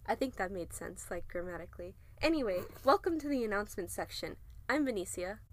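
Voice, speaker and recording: A woman's voice: mid-range voice, animated, fairly quick. A young woman co-hosting and narrating a student podcast in a casual, playful style. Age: young adult. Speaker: co-host and narrator.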